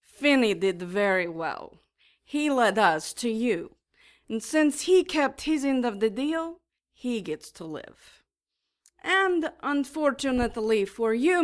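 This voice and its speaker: Slow, high-voiced menacing demoness. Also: female voice, very animated, full character voice. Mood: smug menace. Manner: slow, deliberate, mock-polite threats. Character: menacing, taunting female demon enforcer, smugly sadistic. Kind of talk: audio drama acting.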